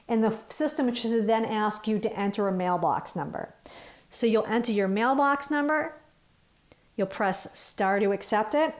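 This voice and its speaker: A woman's voice: medium pitch, a little mellow. Woman giving step-by-step training. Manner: explanatory, step-by-step, conversational. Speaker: phone system trainer. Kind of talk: informal instructional walkthrough.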